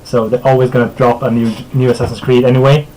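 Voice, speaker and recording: A laid-back man from Sweden, Swedish his first language, hosts a casual live-streamed chat in an even, mid-range voice. He chuckles often and trails off into fillers. A faint hum of room ambience sits under his voice. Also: mid-range voice, fast talker, poor audio. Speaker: podcast host.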